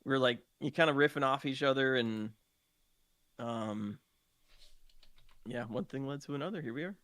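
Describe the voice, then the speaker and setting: A man's voice: medium pitch, moderate pace, fairly bright tone, no room sound, clean studio sound. A man telling roleplay gaming stories in a casual podcast chat. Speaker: podcast participant, game roleplay streamer.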